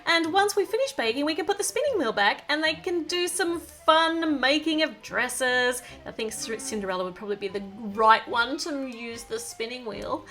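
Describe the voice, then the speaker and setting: A woman with a high voice that rises and dips in an animated way. She narrates a toy build for children in a chatty, playful style, full of exclamations, with music behind her. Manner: talks to the viewer. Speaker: toy review presenter.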